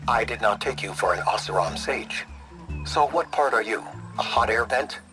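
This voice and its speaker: A man playing an aloof, sardonic know-it-all sage in a video game, speaking in a formal deadpan. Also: fairly low pitch, normal speed, music in the background, natural voice, rough recording. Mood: dry.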